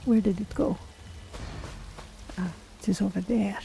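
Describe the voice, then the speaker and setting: Cautious, curious feline adventurer for a fantasy game, played by a woman: very warm, relaxed timbre, measured musing, light background noise. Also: mid-range voice, gravelly. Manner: musing aloud in measured, formal phrasing. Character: curious, cautious female Khajiit cat-folk adventurer. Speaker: streamer voicing a game character. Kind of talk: in-character game playthrough narration.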